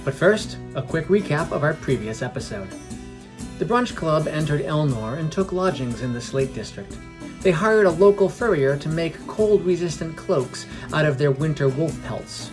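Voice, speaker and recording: Storyteller narrating fantasy over music. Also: medium pitch. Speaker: podcast narrator. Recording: music under the voice. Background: background music.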